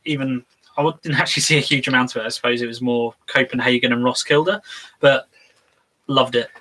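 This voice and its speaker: A man's voice: medium pitch, steady tone, a little fast, bright, forceful tone, rough recording. A man co-hosting a live tabletop game discussion show, chatting casually and reading out viewer comments with plenty of "um"s. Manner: chatty, reads viewer comments aloud, frequent "um". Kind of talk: casual live-stream chat.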